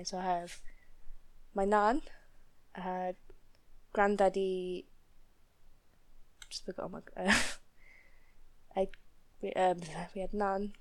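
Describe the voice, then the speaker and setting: A young woman with a Northern English accent talks at an unhurried, drawn-out pace, full of ums and little self-mocking giggles. Her pitch sits in an ordinary middle range, and she chats casually, stumbling and restarting as she goes. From northern England. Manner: giggly, self-deprecating, hesitant with many fillers. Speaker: student vlogger. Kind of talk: casual chat, accent tag video.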